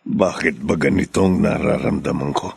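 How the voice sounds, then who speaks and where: A man's voice: very deep voice, steady tone, normal speed, vocal fry, darkish tone, slightly rough, dry recording, exaggerated voice. A man playing a stubborn, suspicious middle-aged widowed father in a Filipino radio drama. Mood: suspicious, uneasy.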